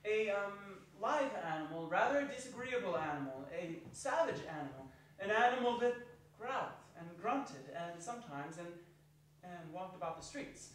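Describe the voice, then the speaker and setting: Male narrator, fairly high voice, staged literary reading, distant and very reverberant, slight noise behind. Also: lively intonation, moderate pace. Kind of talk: scripted theatrical narration. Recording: echoey hall, distant, faintly noisy.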